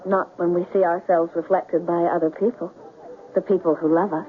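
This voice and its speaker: A woman playing a tender, gently teasing young sweetheart in a 1970s radio drama, with simple, deliberate phrasing. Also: lowish voice, flat delivery, a little hiss or hum, close to an everyday voice. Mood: tender, coaxing. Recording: muffled old radio broadcast with music.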